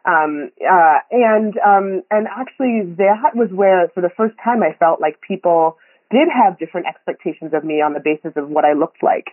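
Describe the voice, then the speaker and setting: A woman's voice: on the low side, normal speed, dry recording. A woman from Kenya, a podcast guest, talking reflectively with many ums and frequent laughs. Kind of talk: conversational interview. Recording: tinny phone line, dry.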